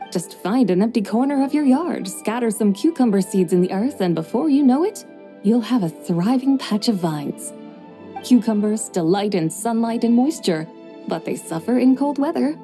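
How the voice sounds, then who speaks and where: Casting note: a woman as a stern immortal horse goddess, mellow and dark-toned, lofty and formal, with faint music behind. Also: mid-range voice, lively intonation, normal speed, natural voice. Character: proud ancient female horse spirit, stern goddess of farming. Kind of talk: video game voice acting. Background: game soundtrack music.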